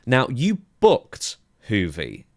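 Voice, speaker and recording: A man's voice: mid-range voice, huge pitch swings, drawn-out words, dry recording, studio quality. A man hosting a podcast, casually putting questions and moving the conversation along. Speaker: podcast host. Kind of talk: casual interviewing.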